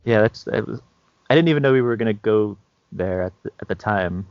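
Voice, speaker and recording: A man's voice: on the low side, brisk, no room sound, muffled recording. A man co-hosting a podcast as a game master, chatting casually in a hesitant, understated way. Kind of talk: casual chat.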